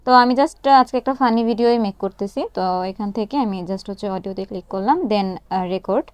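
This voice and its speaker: A woman presenting a casual step-by-step app tutorial, explaining each action haltingly as she does it. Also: mid-range voice, smooth, no echo.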